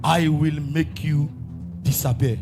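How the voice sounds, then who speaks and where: A man's voice: on the high side, steady tone, slow pace, over music. An actor playing a menacing, wealthy political godfather who speaks in threats and proverbs. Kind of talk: stage play acting.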